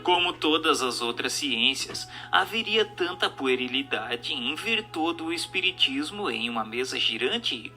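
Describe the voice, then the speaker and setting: A man's voice: mid-range voice, pushed and bright. A man with a Brazilian accent reading a scripted text in an emphatic, declamatory, didactic manner. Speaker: narrator. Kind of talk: scripted narration of a spiritist text. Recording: music bed under the voice. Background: music.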